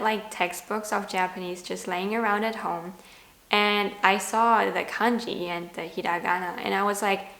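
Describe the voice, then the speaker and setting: A woman's voice: mid-range voice, creaky, fairly bright tone. Chatty German woman in an echoey room. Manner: chatty, candid, self-deprecating. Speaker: language vlogger. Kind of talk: casual talking-to-camera vlog.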